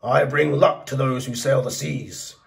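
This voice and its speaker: A man's voice: medium pitch, fairly even intonation, unhurried, creaky, slight background noise. A man voicing a hungry, curious albatross with a solemn kindness in a children's storybook reading. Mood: helpful. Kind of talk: children's storybook read-aloud.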